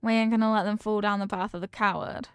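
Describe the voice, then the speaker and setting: A woman's voice: mid-range voice, fairly even intonation, fast talker, clear, resonant tone, no room sound, clean studio sound, lightly acted. A woman playing a confident mafia boss girlfriend in an intimate romantic audio roleplay, talking tough but tenderly with affectionate slang. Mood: proud, hopeful. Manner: affectionate tough talk with slangy pet names.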